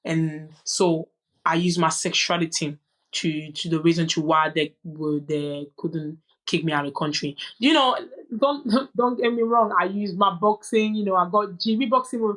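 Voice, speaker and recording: A young adult woman whose first language is French, telling her story as a podcast guest in an earnest, halting way with frequent restarts. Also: fairly low pitch, a bit bright. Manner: earnest, many restarts and "you know" asides. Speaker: guest, boxer. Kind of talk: candid conversational storytelling.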